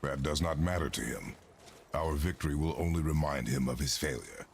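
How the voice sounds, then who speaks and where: Stern weary warrior father, extremely deep, gravelly, terse commands, game cinematic, loud ambience behind. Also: expressive, brisk, a little hiss or hum, full character voice. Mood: measured, solemn. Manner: terse, commanding, formal wording. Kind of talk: video game cinematic acting.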